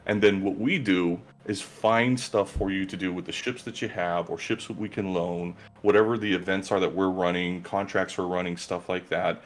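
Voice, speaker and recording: A man's voice: low voice, steady tone, normal speed, hardly any pauses. A man guest in a casual interview, talking earnestly and gratefully about his gaming group.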